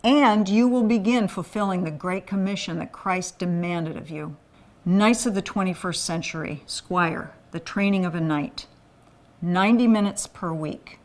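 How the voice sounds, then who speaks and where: A woman's voice: lowish voice, unhurried. A woman raised in Thailand, delivering an earnest scripted appeal for a men's ministry. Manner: earnest, pleading.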